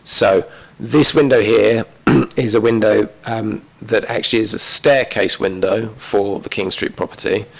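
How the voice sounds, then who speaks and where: A man with a fairly low voice walks a council committee through the plans of an application, one slide after another. He talks in a methodical, halting way, full of small fillers and restarts. His voice is going, and he stops now and then to clear his throat. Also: a bit bright, low-quality recording. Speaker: planning officer. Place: council chamber.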